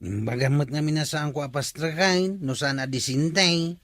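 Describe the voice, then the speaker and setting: A man's voice: a little high, moderate pace, a bit bright, dry recording, clean studio sound. A man playing a grumbling, blaming sidekick of a village official in an Ilocano comedy audio drama.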